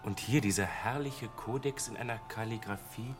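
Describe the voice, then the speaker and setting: An actor playing an earnest young novice monk in a German radio drama, curious, naive and a little tentative. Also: male voice, fairly low pitch, normal speed, music in the background, natural voice. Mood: wondering. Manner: wondering, tentative.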